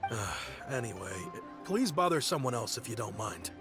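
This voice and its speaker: A man's voice: mid-range voice, big pitch swings, moderate pace, music in the background, close to an everyday voice. A man doing a curt, busy townsman. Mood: impatient. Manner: curt, dismissive. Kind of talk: game voice-over.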